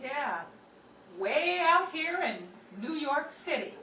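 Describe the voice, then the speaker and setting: Woman playing a brash, high-pitched 1930s wisecracking dame. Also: expressive, full character voice. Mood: sarcastic. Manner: sarcastic, melodramatic, fast-quipping. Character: brash, wisecracking, star-struck 1930s New York schemer gal. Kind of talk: 1930s radio comedy acting. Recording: echoey distant stage recording, loud noise, audience.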